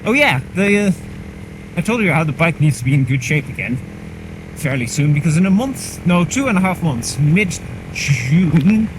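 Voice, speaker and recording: A man's voice: a little high, animated, moderate pace. Man vlogging from a motorbike helmet. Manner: chatty, rambling, self-mocking. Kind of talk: casual running commentary while riding. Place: on a motorcycle on a country road outdoors. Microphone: helmet mic. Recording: helmet mic with clear engine noise. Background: engine and wind noise.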